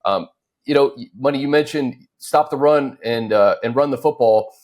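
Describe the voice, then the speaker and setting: A man hosting an unscripted football discussion, recapping points conversationally with hesitant pauses between phrases. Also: mid-range voice, darkish tone. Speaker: talk show host.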